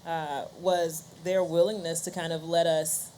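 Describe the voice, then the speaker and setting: A woman's voice: fairly low pitch, flat delivery, a little slow, warm tone, slight background noise. A woman podcast host speaking casually on a panel, checking her points with the listener as she goes. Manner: casual, ends points with "right?". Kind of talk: conversational panel talk.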